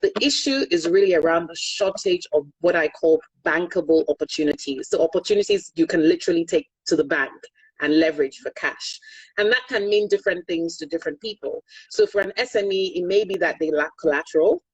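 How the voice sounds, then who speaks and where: Low, husky Nigerian woman explaining. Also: a little fast, no echo, rough recording. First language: Yoruba. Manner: articulate, pragmatic, emphatic. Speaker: guest, energy consultant. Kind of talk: online interview, explaining.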